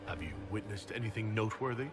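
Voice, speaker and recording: A man's voice: on the low side, quick pace, over music, close to an everyday voice. A man playing a composed commander in a video game, direct, questioning and authoritative. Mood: calm, probing. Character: composed, probing male commander of an inquisition. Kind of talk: video game dialogue acting.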